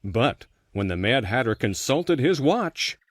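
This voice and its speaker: Guy reading a kids story, slowish and very expressive, highish voice, soft music behind. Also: dry recording. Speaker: story narrator. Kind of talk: scripted children's storybook narration. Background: faint music.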